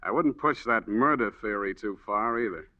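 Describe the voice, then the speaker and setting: An actor playing a blunt, sardonic, skeptical police detective in 1940s radio style. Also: male voice, on the low side, moderate pace, no echo, close to an everyday voice. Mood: warning. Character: skeptical, hard-boiled male police detective. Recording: dull, boxy old radio recording.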